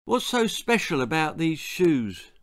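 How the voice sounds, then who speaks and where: A man's voice: a little high, big pitch swings, unhurried, voice pushed up. A man voicing an eager, sceptical young schoolboy runner in a storybook, questioning and indignant. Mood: puzzled.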